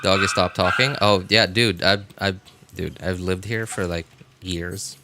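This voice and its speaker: Man, low voice, game streamer, casual rambling chat, halting, self-deprecating, noisy recording with clicks. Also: fairly even intonation. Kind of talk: casual livestream chat while gaming.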